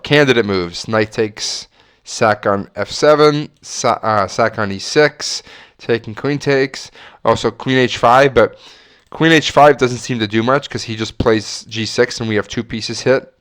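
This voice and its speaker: A man with a stuffy nose and a slight leftover cough talks himself through chess positions, weighing moves and catching his own slips. His voice sits at an ordinary middle pitch and comes through smooth and clear. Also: a little slow, a bit bright. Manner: musing, self-correcting, talks through moves. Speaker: chess streamer. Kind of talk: thinking aloud while playing chess.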